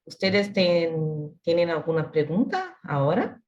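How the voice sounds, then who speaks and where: A woman with as her moderates a video call in careful, slightly halting learner's Spanish. Her voice sits somewhat low, and the call audio is dull and lo-fi. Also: big pitch swings. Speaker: student moderator. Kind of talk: reading announcements on a video call.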